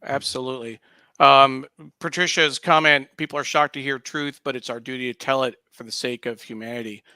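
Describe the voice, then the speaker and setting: A man guest-hosting an online discussion show in a conversational interviewing style, with somewhat halting phrasing. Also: mid-range voice, steady tone, pushed and bright, clear, resonant tone, dry recording.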